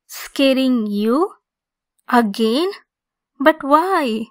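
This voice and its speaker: A woman playing a lonely, obsessive, domineering female centaur in an ASMR roleplay, speaking deliberately and insistently. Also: high voice. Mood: coaxing, possessive. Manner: slow, deliberate, insistent, clingy.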